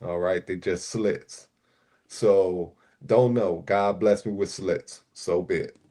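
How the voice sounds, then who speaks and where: Deep-voiced laid-back man from Puerto Rico. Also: steady tone, slow, mellow. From Puerto Rico, raised in Newark, New Jersey. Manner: laid-back, many "you know" fillers. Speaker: panel regular on a livestream show. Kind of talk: casual livestream chat.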